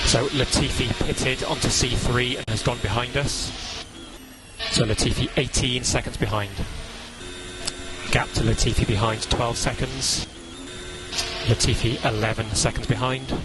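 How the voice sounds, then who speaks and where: A man working as a race engineer, giving brisk, clipped updates over team radio. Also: mid-range voice, poor audio. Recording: radio over loud music.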